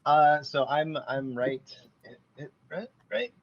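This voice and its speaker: A man chatting as a podcast guest, friendly and relaxed, with some hesitation. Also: a little high, takes it slowly, gravelly, lo-fi sound, a crowd in the background. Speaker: podcast guest, voice actor and artist. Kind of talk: casual podcast chat.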